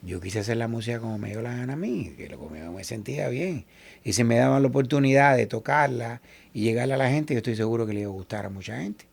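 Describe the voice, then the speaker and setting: A Cuban man with a Cuban Spanish accent, a salsa singer being interviewed. His voice is gravelly and sits at an ordinary middle pitch. He tells his stories with animation and keeps acting out what other people said. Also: quick pace. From Cuba. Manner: animated, quotes others in lively asides. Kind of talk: casual interview storytelling.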